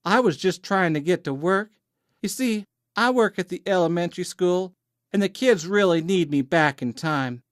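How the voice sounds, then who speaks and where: Flustered young woman, played by a male narrator, raised pitch, affected Southern drawl, coy and pleading innocence, audiobook character. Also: animated, moderate pace, no room sound, studio quality. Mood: innocent.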